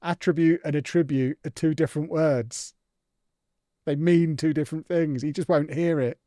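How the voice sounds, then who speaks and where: A man's voice: fairly high pitch, steady tone, moderate pace, warm tone, dry recording, studio quality. A man streaming a football management game, chatting casually and reacting to viewer comments with amused indignation. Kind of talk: casual live-stream chat while playing.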